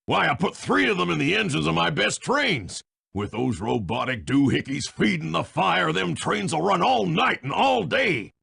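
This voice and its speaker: An actor playing a folksy villainous train baron in a video game, with a gravelly voice and a cowboy drawl. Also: male voice, a little high, bright, forceful tone, full character voice. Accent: North American cowboy drawl. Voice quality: gravelly, rough.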